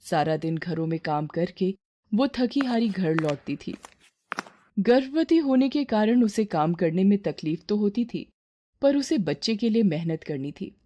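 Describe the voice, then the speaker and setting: A woman's voice: medium pitch, lively intonation, a little slow, warm tone. A woman reading a scripted moral story as its narrator.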